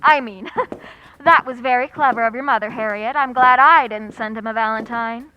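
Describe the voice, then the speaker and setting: A woman playing a wry, quick-witted schoolteacher in old-time radio comedy style, with dry, sardonic asides that stay polite. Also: a little high, dull audio, slight background noise, close to an everyday voice.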